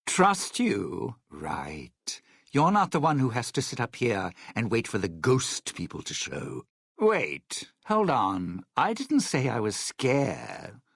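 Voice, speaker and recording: A slick lounge entertainer turned survivor in a video game, wry and distrustful. His delivery swings widely in pitch and lingers in an unhurried, showy drawl. The recording is close and dry. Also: wildly swooping pitch, gravelly, fairly bright tone, husky, no echo, exaggerated voice. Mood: wary, sardonic. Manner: wry, hedging, theatrical showman's drawl. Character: wary, smooth-talking, sarcastic old-world lounge entertainer, self-preserving survivor. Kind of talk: video game dialogue acting.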